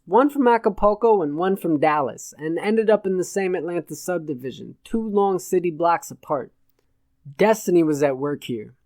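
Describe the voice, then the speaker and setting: A man's voice: sits high, darkish tone, dry recording. Man reading an audiobook, dry close sound. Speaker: audiobook narrator. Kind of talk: scripted narration of satirical fiction.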